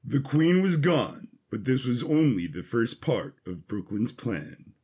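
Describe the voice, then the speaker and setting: A man with a husky voice giving a scripted, dramatic narration of an adventure story. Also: medium pitch, very animated, measured pace, a little mellow.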